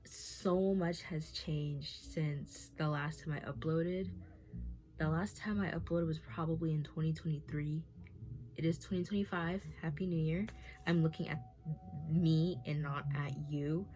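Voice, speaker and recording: A woman's voice: low voice, steady tone, unhurried, warm tone, faint music behind, low-quality recording. A woman around twenty talking candidly to her camera, nervous and rambling, with many fillers and restarts. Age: 20s. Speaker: vlogger.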